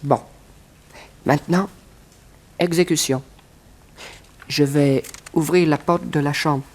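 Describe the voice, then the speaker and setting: An actor playing a cunning, calmly brutal accomplice in a French radio crime serial, deliberate and commanding. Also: male voice, fairly high pitch. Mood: probing, brisk. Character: cunning male inside accomplice, calm and brutal. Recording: noisy old radio recording.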